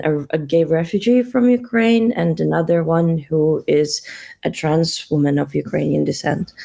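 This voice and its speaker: A woman's voice: low-pitched, mellow. A young adult woman from Russia, a guest answering interview questions hesitantly, with many fillers and restarts. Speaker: guest, NGO co-founder.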